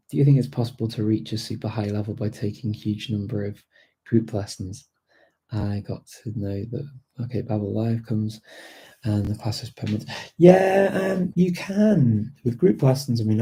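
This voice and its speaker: A man's voice: on the low side, normal speed, mellow, breathy edge. A middle-aged British man hosting a casual live chat, rambling enthusiastically with lots of fillers and restarts. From Britain. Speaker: language-learning host answering viewer questions.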